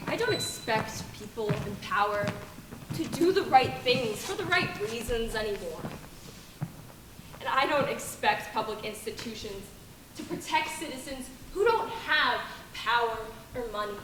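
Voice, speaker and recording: A woman's voice: a little high, lively intonation, unhurried. A woman acting a wronged young factory worker, bitter and resigned, in a stage play monologue. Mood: resigned, disillusioned. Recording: roomy distant stage recording, noisy, clicks.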